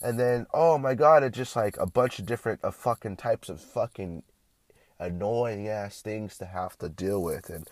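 A man's voice: fairly low pitch, normal speed, dry recording. A young man vlogging casually with a stuffy, congested nose, rambling and swearing often with lots of restarts.

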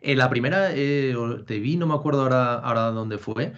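A man from Asturias hosts a casual podcast interview. His voice sits at a middle pitch, and he asks friendly questions with plenty of hesitant fillers. The sound is dull and lo-fi. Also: brisk, fairly bright tone. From Asturias, Spain. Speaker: podcast host.

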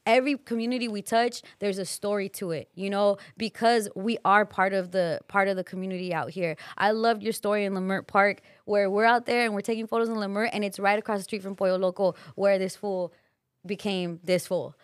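A woman's voice: mid-range voice, a little fast, dry recording. Chatty woman podcast host, dry sound. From Los Angeles. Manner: animated, chatty, swears casually. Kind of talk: casual chat.

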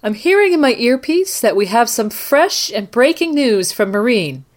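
A woman hosting a scripted mock news broadcast, presenting and interviewing in a measured, formal way. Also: on the high side, very animated. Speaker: podcast host playing a live news anchor.

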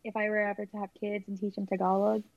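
Gravelly-voiced young woman, chatty. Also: medium pitch, monotone, fast talker, no room sound. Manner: chatty, lots of "like" and "um" fillers. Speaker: stream co-host. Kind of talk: casual chat with friends on a livestream. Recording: dull, dry sound.

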